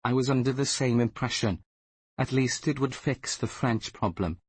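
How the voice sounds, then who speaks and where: A man reading online forum comments aloud in a brisk, straight way. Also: mid-range voice, slightly rough, no room sound. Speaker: comment reader.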